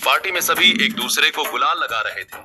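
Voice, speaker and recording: A man's voice: fairly high pitch, expressive, fairly quick, over music. A man reading scripted narration for a family drama story as its narrator.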